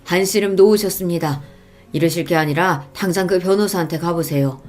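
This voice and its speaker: A woman voicing a kindly veteran taxi driver in a radio story, calm, earnest and reassuring. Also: on the low side, fairly quick, faint music behind, close to an everyday voice. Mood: encouraging.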